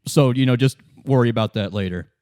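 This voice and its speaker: A man's voice: mid-range voice, quick pace, mellow, dry recording, studio quality. A man running a tabletop game, improvising narration and explaining things informally. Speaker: game master.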